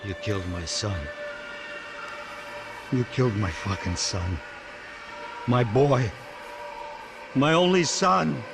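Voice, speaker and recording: A man's voice: medium pitch, slow pace, gravelly, pauses often. An actor playing a menacing, foul-mouthed crime boss and father maddened by grief in a video game. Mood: bitter grief. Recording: loud game music behind.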